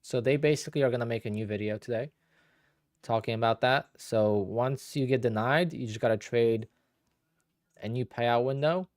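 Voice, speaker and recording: A man's voice: mid-range voice, normal speed, dry recording, studio quality. A man in his thirties from Poland, a day trader chatting casually and rambling as he answers viewers on a live stream. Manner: relaxed, rambling, thinks aloud with restarts.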